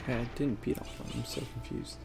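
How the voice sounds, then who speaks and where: A man's voice: rapid-fire, loud music behind the voice. A man giving casual, offhand gameplay commentary as a video creator.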